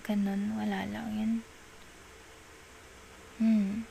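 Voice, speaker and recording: A woman with a Filipino accent playing a clingy, coaxing girlfriend in an intimate ASMR roleplay, pleading in soft baby talk. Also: medium pitch, flat delivery, extremely slow, gravelly, slight background noise, heavily acted, rough recording. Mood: puzzled, pleading. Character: clingy, coaxing girlfriend soothing a jealous partner.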